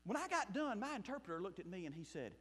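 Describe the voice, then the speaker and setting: A man from South Mississippi with a Southern accent, preaching a sermon and telling his own story as a missionary pastor, shifting between loud bursts and hushed asides. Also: high-pitched, very animated, fast talker. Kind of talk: sermon with personal testimony. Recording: long hall reverb.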